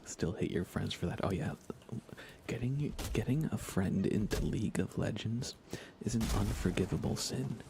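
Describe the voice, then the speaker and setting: A soft-spoken man chatting casually in an ASMR game livestream, often slipping into a whisper as he rambles along unhurriedly. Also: on the low side, creaky, noisy background. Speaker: live streamer. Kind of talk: soft ASMR gaming stream, casual chat with viewers.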